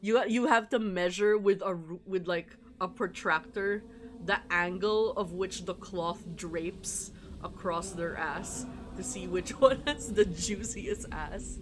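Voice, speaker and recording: A livestreamer chatting casually while playing a game, rambling and excitable, prone to giggling. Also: mid-range voice, slow pace, background noise. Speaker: livestreamer playing a visual novel. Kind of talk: casual live stream commentary with read-aloud game dialogue. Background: game music.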